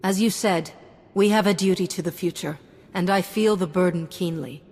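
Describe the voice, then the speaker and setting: An actress playing a calm, dutiful female Jedi sage in a video game, speaking formally and measuredly in a reverberant space. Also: fairly low pitch, moderate pace. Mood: solemn, dutiful. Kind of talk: scripted video game dialogue. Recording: reverberant hall, background music.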